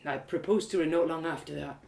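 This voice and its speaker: A woman's voice: low-pitched, fairly quick, gravelly, a little mellow. A woman voicing a heartbroken, earnest young village man who confides in a hesitant, rustic way, for an audio drama. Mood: recollecting. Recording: roomy echo of home live reading.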